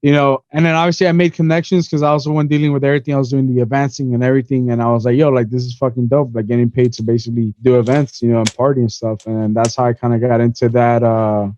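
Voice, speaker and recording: Smooth-voiced young man telling stories casually. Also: medium pitch, little pitch movement, quick pace, few pauses, dark tone, no echo, dull audio. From Miami, USA. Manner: rambling, peppered with "you know" and "bro". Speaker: podcast guest, event organizer. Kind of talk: casual storytelling chat.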